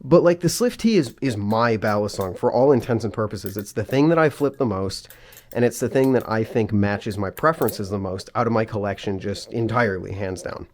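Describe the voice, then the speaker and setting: A man's voice: fairly low pitch, fairly quick. Stuffy-nosed man chatting casually. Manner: chatty and rambling, often restarting sentences. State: stuffy, plugged-up nose from a cold. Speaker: host of a knife channel. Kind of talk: casual vlog chat.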